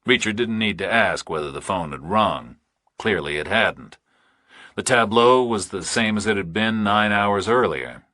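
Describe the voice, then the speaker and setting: Low-voiced man narrating a thriller. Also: expressive, bright, forceful tone, no room sound, clean studio sound. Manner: measured, terse phrasing. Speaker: audiobook narrator.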